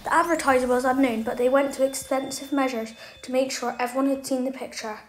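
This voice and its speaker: A woman's voice: high voice, steady tone, quick pace, a little hiss or hum. A woman reading a scripted documentary narration in an explanatory style.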